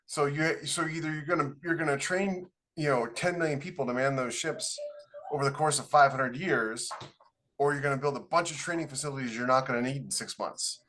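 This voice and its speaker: A man's voice: a little high, a little fast, bright, forceful tone, slight background noise. American man in casual, explanatory discussion. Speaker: podcast co-host.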